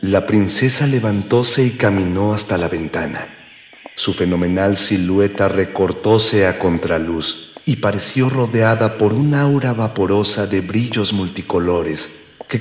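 A man playing a solemn, florid storyteller, narrating a pulp space radio serial in a pompous, old-fashioned way. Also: deep voice. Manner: pompous, archaic, florid phrasing. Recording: echoey reverb with soft music.

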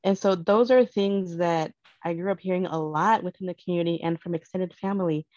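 A woman talking in an earnest, reflective and measured way in a casual conversation, as a guest parent. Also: lowish voice, fairly even intonation, darkish tone, no echo. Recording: dull dry lo-fi sound.

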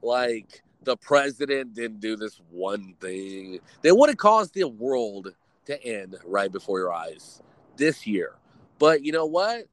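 A man's voice: medium pitch, slow pace, no echo. A man hosting a casual live stream, rambling and swearing freely as he chats with viewers. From Texas, US. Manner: rambling, profane, animated storytelling. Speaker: streamer host.

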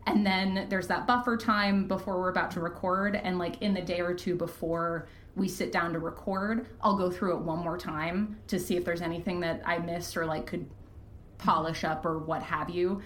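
A woman chatting casually as a podcast guest, candid and self-deprecating, quick to laugh. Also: on the low side, steady tone, a bit bright, slight background noise. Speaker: podcast guest, fiction podcast creator and writer. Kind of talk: casual interview chat. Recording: roomy, echoey sound.

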